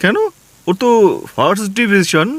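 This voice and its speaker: A man's voice: high-pitched, moderate pace, a bit bright, dry recording. A man playing an anxious, gullible newly married husband in a comic audio drama, hesitant and easily flustered. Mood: flustered disbelief.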